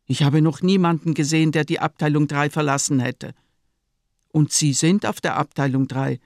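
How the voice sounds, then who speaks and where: A man's voice: a little high, no echo, clean studio sound. A man playing a massive, pious, smugly blunt nurse in a German radio drama.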